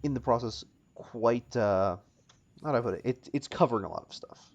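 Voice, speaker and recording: Halting male game master, dull lo-fi sound. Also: mid-range voice. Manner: halting, searching for words, repeating phrases. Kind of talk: improvised tabletop game narration.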